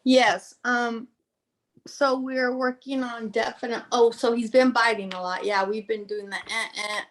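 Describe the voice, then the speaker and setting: A woman dog owner in a casual video call, calmly explaining her puppy's progress. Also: fairly high pitch, measured pace. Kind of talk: casual chat.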